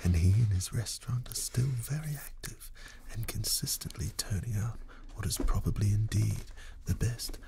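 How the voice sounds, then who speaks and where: A man's voice: on the low side, moderate pace, gravelly. A man in his thirties giving a whispered ASMR reading and chat as a guest, close to a studio microphone. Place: small dark indoor studio. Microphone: close studio microphone at a table.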